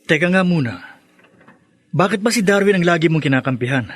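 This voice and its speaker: An actor playing an easygoing, put-upon young boyfriend in a radio drama, bantering in a mock-aggrieved way. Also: male voice, a little high, expressive, fairly quick, fairly bright tone, no echo.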